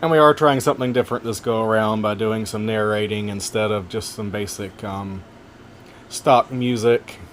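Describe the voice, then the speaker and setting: A man with a relaxing voice casually narrating wildlife camera footage, gentle and folksy with joking asides. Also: fairly low pitch, steady tone, normal speed, a little hiss or hum. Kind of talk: casual narration over video.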